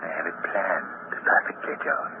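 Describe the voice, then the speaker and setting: A man's voice: normal speed, close to an everyday voice. An actor playing a gloating, murderous cousin who taunts his victim with mock courtesy in a 1950s radio drama. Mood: commanding, cruel. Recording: noisy, tinny vintage radio recording.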